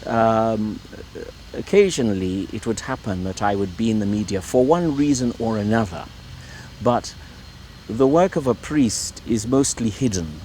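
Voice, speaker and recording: A middle-aged man from Ireland talks in a rather low voice, stopping often and repeating himself as he searches for words. He speaks as a priest being interviewed in his own home, with faint traffic sounds behind him. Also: expressive, a little slow, darkish tone. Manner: hesitant, repeats words, many fillers. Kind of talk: relaxed conversational interview.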